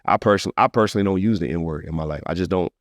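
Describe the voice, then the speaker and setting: Male podcast host, very quick conversational delivery, emphatic and slangy, recorded dry. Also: deep voice, no room sound, highs cut off. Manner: emphatic, repeats phrases, slangy. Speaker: podcast host and music artist. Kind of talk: casual conversational podcast talk.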